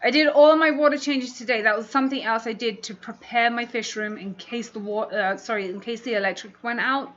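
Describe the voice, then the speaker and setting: A woman's voice: a little high, fairly even intonation, a little fast, few pauses, fairly bright tone. London woman chatting on a muffled stream. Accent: London English. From London, England. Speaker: livestream host, fishkeeping hobbyist. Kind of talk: casual livestream chat answering viewers. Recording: dull, muffled livestream audio.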